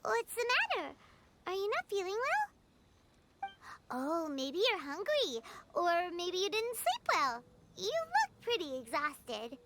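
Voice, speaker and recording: A woman voicing a tiny, childlike, chirpy floating fairy companion in a video game, squeaky and excitable. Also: slow pace, very noisy, heavily acted. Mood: cheerful, reassuring. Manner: excitable, refers to herself in third person. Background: loud game music.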